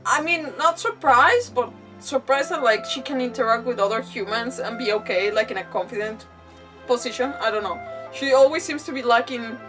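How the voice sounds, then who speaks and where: A woman live streamer giving casual, animated commentary on a game, thinking aloud in a giggly, chatty way. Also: fairly high pitch. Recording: slightly distant mic, faint game music. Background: faint game music.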